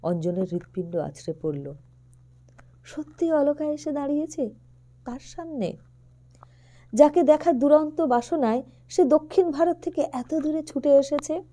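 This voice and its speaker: A woman's voice: high voice, measured pace, long pauses. A woman narrating an audio story expressively, acting out the characters' lines. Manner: expressive storytelling with voiced dialogue.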